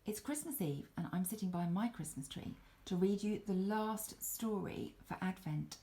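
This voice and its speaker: An older woman reading aloud in an expressive storybook style, speaking directly to her listeners. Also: mid-range voice, normal speed. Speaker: grandmother reading a Christmas story to viewers. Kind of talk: storybook read-aloud, poetry recitation. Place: indoors at home, beside a Christmas tree.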